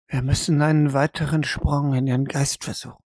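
A woman's voice: sits low, little pitch movement, brisk, darkish tone, slightly rough, no echo, clean studio sound. A woman acting in an audio drama as a stern, insistent interrogator who speaks formally and presses hard. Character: stern, insistent female interrogator probing a prisoner's mind.

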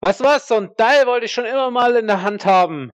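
A man playing a naive, eager rookie soldier in an audio drama, stammering and high-strung. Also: a little fast, smooth, no room sound, dull audio. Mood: giddy excitement. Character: overexcited, naive rookie soldier, first time in Iraq.

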